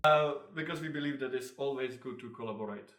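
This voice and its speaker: A young man with a Czech accent talks through interview answers, stopping and restarting with plenty of fillers. He sounds set back from the microphone in an echoey room, his pitch sitting in an ordinary middle range. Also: loud music behind the voice. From Czech Republic. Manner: halting, many fillers and restarts. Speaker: designer, co-founder of a clothing brand. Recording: echoey room, mic at a distance.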